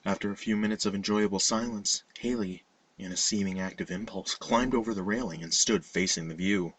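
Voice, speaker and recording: A man reading a scripted horror story as narrator, in a measured, dramatic storytelling manner. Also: low voice, slightly rough, recorded from a distance, low-quality recording. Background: music.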